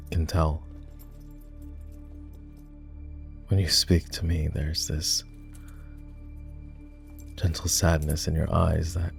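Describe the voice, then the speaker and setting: A man playing a proud, bitter lowborn knight and war hero in a dramatic fantasy roleplay audio, with a sardonic, scornful manner. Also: gravelly, darkish tone, exaggerated voice. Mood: softer, probing. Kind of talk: fantasy roleplay ASMR voice acting.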